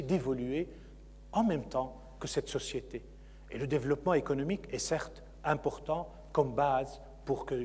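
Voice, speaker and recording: Man, university lecturer, Q&A answers, halting with fillers, slightly high voice, reverberant hall. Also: a little mellow, breathy edge. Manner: hesitant, many fillers and repeated words. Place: conference hall.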